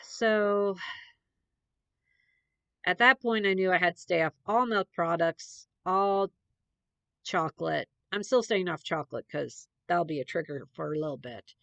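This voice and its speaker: A woman's voice: lowish voice, unhurried, creaky, a bit bright, no echo. A young adult woman, a little congested, chatting in a rambling, meandering way with many fillers as a podcast host. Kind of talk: rambling casual chat.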